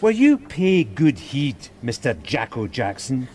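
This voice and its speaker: An actor playing an irritable, overworked police sergeant who barks impatient, blunt questions in a radio drama. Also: male voice, medium pitch, big pitch swings, unhurried, darkish tone, a little hiss or hum. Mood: angry, bossy. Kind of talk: naturalistic radio drama acting.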